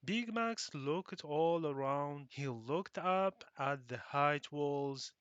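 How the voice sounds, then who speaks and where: Bright, deliberate male storybook narrator. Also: fairly high pitch, lively intonation, smooth, no echo, highs cut off. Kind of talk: expressive read-aloud of a children's story.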